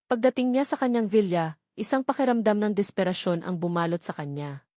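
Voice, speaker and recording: A woman narrates a romance audiobook in a dramatic, scripted style. Her voice sits at a medium pitch, and the recording is close and dry. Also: a little fast, mellow, no echo.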